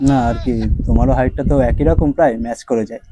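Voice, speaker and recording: A man's voice: mid-range voice, rapid-fire, noisy background. A man making a casual prank phone call in a teasing, evasive way. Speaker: prank caller.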